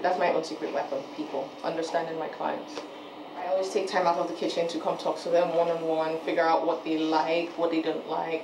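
A woman who grew up in Nigeria, a head chef and business owner, answering interview questions from her office desk in a relaxed, conversational way, often restarting and correcting herself. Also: low voice. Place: office, indoors. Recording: roomy with background noise.

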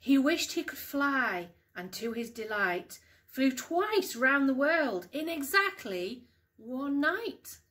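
Woman, middle-aged, highish voice, very unhurried, expressive storybook reading for children, home recording. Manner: expressive, deliberate storytelling with emphasised words. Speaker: parent reading a picture book to children. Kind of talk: storybook read-aloud for young children. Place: small living room at home.

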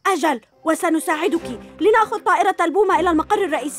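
A woman voicing a brave, energetic boy superhero in a dubbed cartoon, urgent and determined. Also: very high-pitched, racing, over music.

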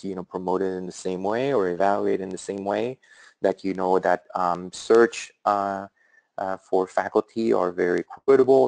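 A middle-aged man with a European accent presents in a webinar, his voice deep and gravelly. He speaks thoughtfully and haltingly, with plenty of "uh"s and self-corrections. The sound is close and dry. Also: darkish tone, dry recording, low-quality recording. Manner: thoughtful, halting, with frequent fillers and restarts. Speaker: academic leader presenting.

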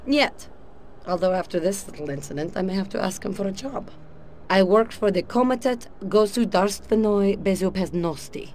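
A woman's voice: medium pitch, lively intonation, normal speed, a little mellow, slight background noise, close to an everyday voice. An actress voicing a sultry female Russian KGB spy with a Russian accent in a video game cutscene, cool and confident. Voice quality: cool, confident, dry delivery.

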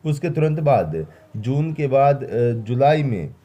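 A man's voice: medium pitch, sing-song, takes it slowly, mellow. A man hosting an explanatory news update, speaking emphatically and repeating key phrases. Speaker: host of pension update channel. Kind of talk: explanatory news commentary over slides.

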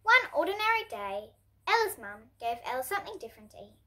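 Casting: preteen girl, high animated voice, expressive storybook reader for little kids. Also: quick pace. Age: child, about ten to twelve. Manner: expressive, presentational reading to young listeners. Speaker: children's picture book reader, host. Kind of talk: storybook read-aloud for children. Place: indoors at home, in front of bookshelves.

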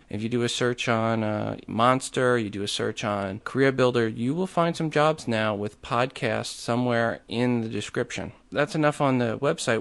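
A man's voice: medium pitch, creaky. A man hosting an interview podcast, talking in a conversational, matter-of-fact way. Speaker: podcast host.

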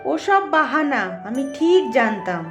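A very high, echoey cartoon voice of a village housemaid. She is familiar and chatty, a nagging wife who doubts excuses. Music plays behind her. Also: sing-song, measured pace. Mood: scolding, sceptical. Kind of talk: Bengali cartoon voice acting. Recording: roomy echo, loud music bed.

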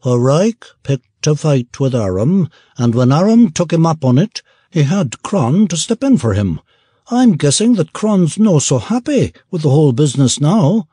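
A man voicing a gruff, unbothered male dwarf. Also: on the high side, sing-song, a little slow, dark tone, dry recording, studio quality, pitched above the performer's own voice, heavily acted. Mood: matter-of-fact.

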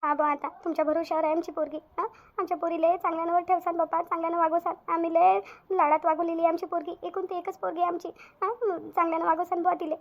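An anxious, doting village mother played by a woman in a cartoon family story. She talks fast and high with a Vidarbha Marathi accent, pleading and saying things over again. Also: little pitch movement, dull audio. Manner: pleading, repetitive. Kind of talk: amateur cartoon story dialogue.

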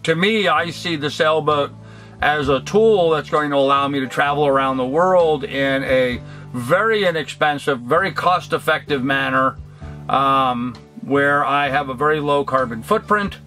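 An older man talking earnestly to the camera from a cluttered workshop, reflective and halting with many ums and restarts. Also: fairly high pitch, bright, forceful tone, faint music behind. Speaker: vlogger. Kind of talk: casual talk to camera. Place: cluttered indoor workshop room.

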